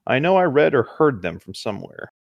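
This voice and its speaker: A man's voice: mid-range voice, very animated, brisk, creaky, no echo, highs cut off. A man reading horror stories aloud as a co-host, with casual chatty asides. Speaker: co-host reading video game creepypastas.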